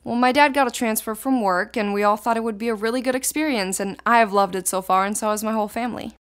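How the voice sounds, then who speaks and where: A woman's voice: fairly high pitch, quick pace, clear, resonant tone. A teenage girl from Israel, a native Hebrew speaker, answering interview questions in casual, thoughtful sentences. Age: teens.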